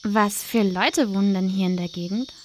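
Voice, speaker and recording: A woman's voice: medium pitch, fairly even intonation, normal speed, slight background noise, close to an everyday voice. A woman playing a curious, polite and slightly hesitant young girl in a fairy-tale audio drama.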